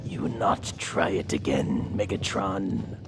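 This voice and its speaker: A man voicing a proud, angry robot raptor warrior in a radio drama, with a gravelly growl and formal, menacing delivery. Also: low voice, takes it slowly, over music. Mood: grim defiance. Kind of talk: radio drama cartoon acting.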